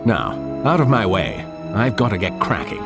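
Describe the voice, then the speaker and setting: An actor playing an irascible British colonel in a video game, brusque, commanding and exasperated. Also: male voice, fairly low pitch, wildly swooping pitch, normal speed, creaky, exaggerated voice. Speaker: imprisoned colonel. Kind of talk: video game cutscene acting. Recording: loud music behind the voice.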